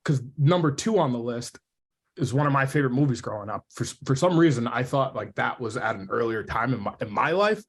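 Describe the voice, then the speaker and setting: A man with a mid-pitched voice chats on a podcast. His talk is informal and slangy, like a casual conversation. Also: expressive, a little fast, breathy edge. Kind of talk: casual chat.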